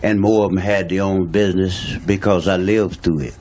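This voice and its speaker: A man's voice: low voice, steady tone, normal speed, a little mellow. A man, speaking bluntly and emphatically as a guest on a talk show and repeating his points. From Louisiana, USA. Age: 60s. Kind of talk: impassioned talk show statement.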